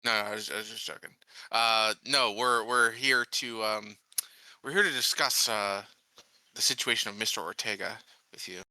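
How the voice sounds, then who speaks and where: A man playing a tabletop roleplaying game, improvising in character in a measured, halting way. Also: fairly low pitch, bright, forceful tone, no echo.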